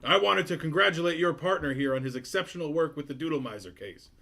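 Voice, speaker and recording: A skeptical, self-important city mayor, voiced by a man. He talks formally and curtly, a little higher and louder than plain talk, as in a sitcom. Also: animated, fast talker, gravelly, full character voice. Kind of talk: sitcom table read.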